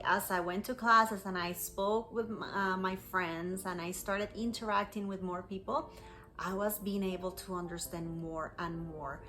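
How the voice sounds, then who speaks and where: A woman English teacher speaks in a bright, forward voice with a Costa Rican Spanish accent. She tells her story to learners in clear, encouraging phrases, at a medium pitch, with music in the recording. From Costa Rica. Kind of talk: personal story told to learners.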